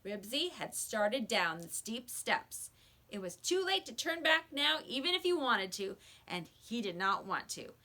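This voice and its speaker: A woman reading a children's book aloud with expressive storybook narration, like a teacher to a class. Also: fairly high pitch, unhurried.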